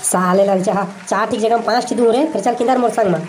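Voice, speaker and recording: A woman's voice: mid-range voice, quick pace, a little mellow, a faint crowd, a little hiss or hum, lightly acted. A woman voicing a brash, bossy male election candidate in a comedy cartoon, scolding and blustering in a Chhattisgarhi accent. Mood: boastful, persuading. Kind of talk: comic cartoon dialogue.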